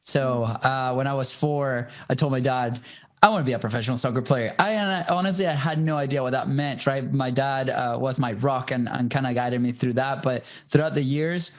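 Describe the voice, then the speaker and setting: A man with a Venezuelan Spanish accent, a native Spanish speaker in his thirties, talks through his past as a podcast guest. His voice sits at a middle pitch for a man. He speaks casually, with frequent "uh"s and restarts. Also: fairly even intonation, brisk. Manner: conversational, many fillers and restarts. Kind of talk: casual storytelling interview.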